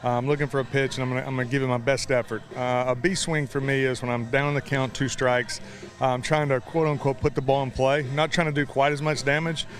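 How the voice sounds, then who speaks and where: A man's voice: medium pitch, little pitch movement, fast talker, soft background music. A middle-aged American man, a baseball coach, answering interview questions in a folksy, plain-spoken way.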